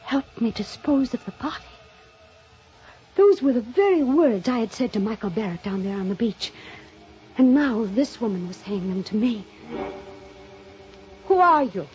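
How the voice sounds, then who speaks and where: A woman's voice: on the high side, expressive, a little mellow. Intense, obsessive woman in radio mystery. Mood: startled, suspicious. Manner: dramatic, intense, deliberate delivery. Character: obsessive, jealous woman mystery novelist turned killer, narrating. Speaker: lead actress and narrator. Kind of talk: 1950s radio mystery acting. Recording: dull old radio recording, music behind.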